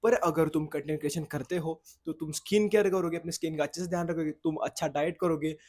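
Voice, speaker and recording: Husky young man chatting casually to camera. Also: on the high side, steady tone, fast, darkish tone. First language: Marathi. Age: young adult. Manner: rambling, loses his thread, self-corrects. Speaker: content creator. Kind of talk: casual vlog talk to camera. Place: outdoors in the sun, at a boys' hostel.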